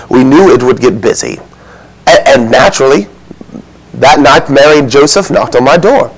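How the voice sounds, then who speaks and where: A flustered innkeeper of ancient Bethlehem, acted out by a man in a comic stage monologue. He has a mid-pitched voice and tells his story defensively, rambling through excuses with wounded indignation. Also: expressive, unhurried, slight background noise, poor audio. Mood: sheepish, explaining.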